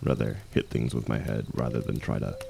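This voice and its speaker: A man playing a seasoned ex-mercenary warrior in an intimate fantasy audio drama, gruff but kindly, gently teasing and unhurried in his talk. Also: a bass voice, fairly even intonation, slight background noise, exaggerated voice.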